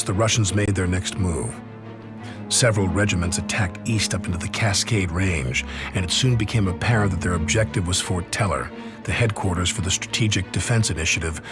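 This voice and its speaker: Man as low-voiced sober war narrator. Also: fairly quick, over music, lightly acted. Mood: sober, reflective. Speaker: story narrator. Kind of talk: scripted dramatic war narration.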